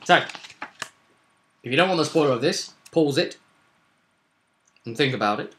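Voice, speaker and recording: A man's voice: fairly high pitch, animated, normal speed, fairly bright tone, a little hiss or hum. A man hosting a vidcast as game master, chatting casually and animatedly to viewers as he rambles through a roleplay session recap.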